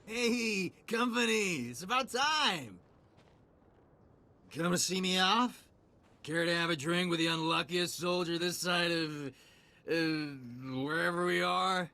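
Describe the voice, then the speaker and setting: An actor playing a tipsy, fatalistic soldier who rambles, in a video game. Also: male voice, sits high, slow pace, pushed and bright, heavily acted. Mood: boozy, resigned. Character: boozy, fatalistic soldier, last of his squad. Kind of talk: video game dialogue acting.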